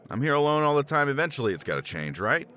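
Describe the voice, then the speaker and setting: A man's voice: fairly high pitch, big pitch swings, quick pace, pushed and bright. A man playing a tipsy middle-aged professor in a romance roleplay, rambling with chuckles and casual swearing. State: tipsy from scotch. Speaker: roleplay character.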